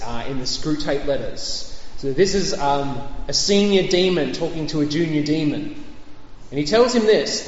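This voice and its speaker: A man's voice: a little high, a little hiss or hum. A man preaching a sermon in a conversational way, with fillers and light jokes. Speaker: pastor. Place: church hall. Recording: echoey hall, dull lo-fi sound.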